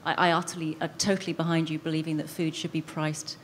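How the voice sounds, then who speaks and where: A woman's voice: low voice, flat delivery, fast talker, a little hiss or hum. A woman on a debate panel, talking hesitantly with stumbling restarts.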